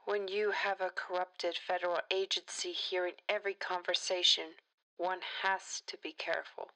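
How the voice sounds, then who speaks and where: A woman playing a principled, defiant politician in an audio drama, speaking in a measured, earnest way. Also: on the low side, flat delivery, sharp tone, rough recording. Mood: guarded, resolute.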